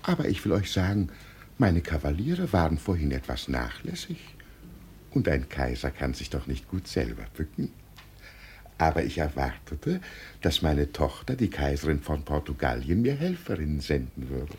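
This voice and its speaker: A man's voice: extremely low pitch, very animated, normal speed, a little mellow, heavily acted. A man acting in a German radio drama as a deluded poor old father who believes he is emperor, solemn, grandiose and dreamy. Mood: solemn, gracious. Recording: noisy old radio play recording.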